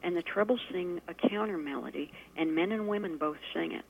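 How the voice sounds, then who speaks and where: A woman with a fairly low voice, talking in a measured, casual way as an interview guest and often pausing on fillers. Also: creaky, husky. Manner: measured, frequent fillers, laughs easily. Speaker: guest musician and poet. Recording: lo-fi radio recording.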